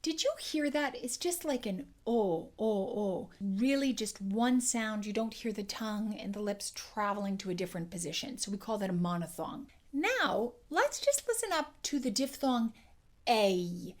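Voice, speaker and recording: Woman, voice and dialect coach, accent lesson, demonstrates sounds, clear explaining tone. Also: medium pitch, animated, moderate pace.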